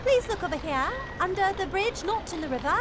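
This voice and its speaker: An actress playing a spirited Victorian bride and sharpshooter in a radio drama, with a theatrical, exclamatory delivery. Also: female voice, very high voice, lively intonation, brisk. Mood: politely understated. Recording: loud dramatic music bed. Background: music.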